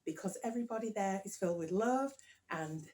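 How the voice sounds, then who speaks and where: A woman's voice: medium pitch, expressive, a little fast, warm tone. A woman from England hosting a podcast, bubbly and encouraging, prompting her guest with tag questions. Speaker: podcast host. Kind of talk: casual family interview.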